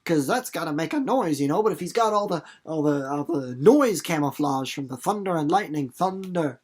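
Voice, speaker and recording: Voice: medium pitch, animated, moderate pace, darkish tone, breathy edge. Casual teacher-narrator, mid-range voice, informal, rambling, theatrical, explaining a story to students. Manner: rambling, theatrical, with acted-out examples and sound effects; informal, playful, repeats words for emphasis. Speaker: reader and literature teacher hosting a read-aloud video series. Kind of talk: casual read-aloud commentary and explanation for students.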